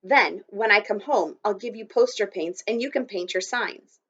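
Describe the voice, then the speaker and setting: A woman doing an eager, excited young boy. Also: normal speed, lo-fi sound, lightly acted. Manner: breathless, eager, high and loud.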